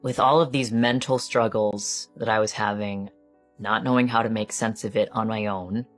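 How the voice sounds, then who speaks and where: A woman's voice: extremely low pitch, steady tone, normal speed, soft background music. A woman candidly and reflectively telling her personal story in an interview.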